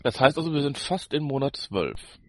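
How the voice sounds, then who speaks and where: A man's voice: medium pitch, very animated, moderate pace. A man co-hosting a podcast in casual banter, with a teasing, jokey manner. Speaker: podcast co-host.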